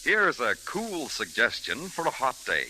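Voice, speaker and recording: A man's voice: medium pitch, wildly swooping pitch, a little slow, pushed and bright, a little hiss or hum, rough recording. A man announcing on vintage radio, reading sponsor spots and credits with polished, emphatic salesmanship. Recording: old radio broadcast recording.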